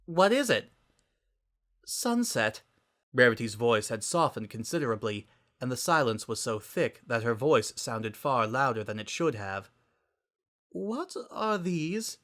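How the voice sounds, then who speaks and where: A man's voice: mid-range voice, a bit bright. A man reading scripted fiction aloud as a dramatic narrator.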